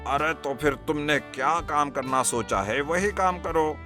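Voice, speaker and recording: An encouraging, practical storybook fish, voiced by a male narrator. It speaks slightly high and advises plainly, over clear background music. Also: measured pace, natural voice. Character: kindly practical talking magic fish giving advice. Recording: music bed under the voice.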